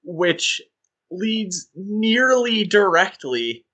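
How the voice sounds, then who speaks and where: A man co-hosting a podcast, talking in a casual, animated, rambling way with fillers and restarts. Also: high voice, very slow. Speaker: podcast co-host.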